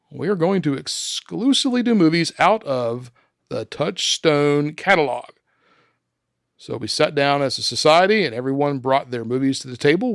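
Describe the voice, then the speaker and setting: A man's voice: fairly high pitch, vocal fry, dry recording, clean studio sound. A man hosting a podcast in a casual, conversational style. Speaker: podcast host.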